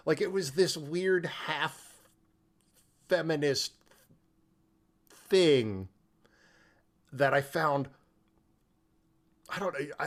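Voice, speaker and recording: Casual male podcast co-host, halting and tangential. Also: on the high side. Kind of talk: casual film discussion.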